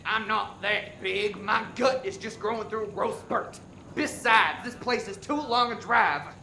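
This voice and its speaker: A man's voice: high-pitched, unhurried, vocal fry, sharp tone, a little hiss or hum, heavily acted. A man playing a defensive, bickering old husband in a comic radio play.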